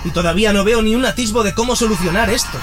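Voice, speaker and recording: A man's voice: high voice, fast talker, natural voice. A man playing an insecure, put-upon young musician in a radio drama, plaintive and melodramatic. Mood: anguished, bitter. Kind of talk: serial radio drama acting. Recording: music clearly under the voice.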